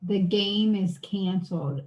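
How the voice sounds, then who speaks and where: A woman's voice: medium pitch, takes it slowly, darkish tone, muffled recording. A woman reading a children's storybook aloud like an elementary teacher, with casual asides to the listeners.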